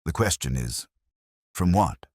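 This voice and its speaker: A man voicing a courteous, quietly incisive police inspector in a detective story, mild and understated. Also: low voice, animated, unhurried, husky, dry recording, studio quality, full character voice. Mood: mild, pensive.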